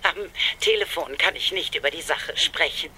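Agitated lady client in an audio drama, sharp bright voice, bit hesitant, prim, slight noise on the call. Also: deep voice, moderate pace, rough recording. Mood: urgent, pleading. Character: single, agitated female client calling detectives for help. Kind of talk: German audio drama acting.

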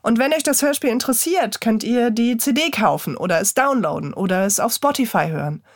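A woman presents a scripted podcast announcement as host. Her voice is slightly high and recorded very dry. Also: animated, brisk, no room sound.

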